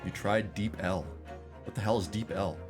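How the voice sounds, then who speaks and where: A man's voice: low-pitched, moderate pace, music in the background. A man streaming a game, chatting casually and rambling with self-deprecating jokes.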